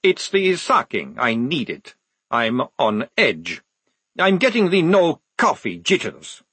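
A man's voice: on the high side, wildly swooping pitch, takes it slowly, a bit bright, breathy edge, no echo, pitched above the performer's own voice, full character voice. A man voicing an edgy young vampire soldier with a wry, jittery manner in an audiobook.

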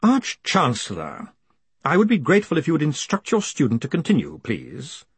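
A man voicing a calm, quietly commanding ruler with measured, formal, icily polite speech in an audiobook. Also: medium pitch, breathy edge, no room sound, voice pushed up. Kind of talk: audiobook character acting.

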